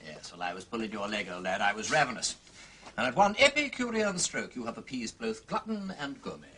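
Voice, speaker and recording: An actor playing a jaunty, quick-witted gentleman sleuth with an upper-class English accent and breezy, theatrical phrasing in a period detective drama. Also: medium pitch, big pitch swings, noisy background, lightly acted.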